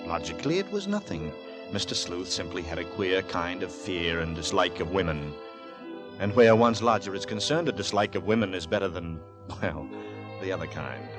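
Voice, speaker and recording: An actor as the measured, dryly wry storyteller narrating a 1940s radio suspense drama in a literary manner. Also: male voice, deep voice. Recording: vintage radio, music behind.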